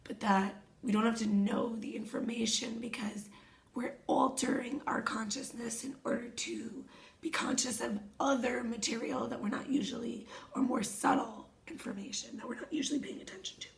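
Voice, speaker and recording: A hoarse woman with a somewhat high voice talks in a gentle, meditative way, often sinking to a whisper. She sounds like a wellness coach sharing reflective self-help prompts. The recording carries a noticeable room echo. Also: fairly even intonation, normal speed. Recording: echoey room.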